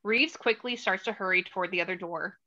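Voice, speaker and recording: A woman's voice: mid-range voice, a little fast, pushed and bright. A woman reading stage directions as the narrator of a screenplay table read. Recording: slightly dull lo-fi audio.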